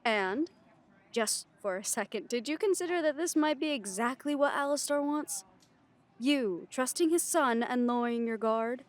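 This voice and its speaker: High-voiced, sceptical werewolf packmate played by a woman. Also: expressive, moderate pace, natural voice. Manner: pointed, questioning, challenging. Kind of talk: audio roleplay drama.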